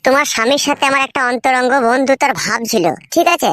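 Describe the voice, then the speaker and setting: A man voicing an earnest, cautious village neighbour in a cartoon, with a pitched-up voice. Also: fairly even intonation, fast, pushed and bright, no echo, studio quality. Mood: confiding, serious.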